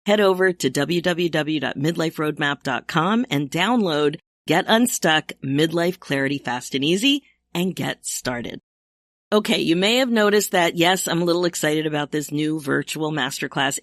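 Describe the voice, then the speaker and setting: Woman, 60s, podcast coach; lively chatty delivery, dead-dry close mic. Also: sits low, no echo. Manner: upbeat, chatty, laughs often. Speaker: podcast host and midlife coach. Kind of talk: solo podcast monologue.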